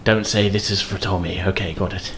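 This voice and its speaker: A man's voice: low voice, fairly even intonation, brisk, fairly bright tone. A man voicing a polite, timid, discreet tech runner in a tabletop game, hesitant in speech. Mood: mild, agreeable. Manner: hesitant, polite, nervously chuckling. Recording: faint background noise.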